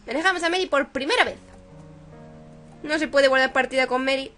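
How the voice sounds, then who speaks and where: A young woman with a Spain accent and a fairly high voice. She hosts a game playthrough, commenting and reading aloud. Faint game music sits behind her. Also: brisk, fairly bright tone. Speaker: gaming video host.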